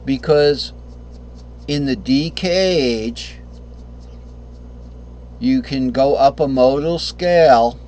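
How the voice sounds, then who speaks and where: A man teaching a casual guitar lesson, hoarse because he is losing his voice, who rambles, corrects himself and often clears his throat. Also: medium pitch, very slow, pauses often, darkish tone. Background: guitar playing.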